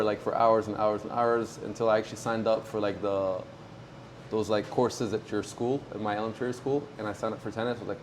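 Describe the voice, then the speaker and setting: A man in his twenties from Morocco, a pro tennis player talking casually in an interview, relaxed and halting with many fillers. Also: fairly low pitch, slight background noise. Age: 20s.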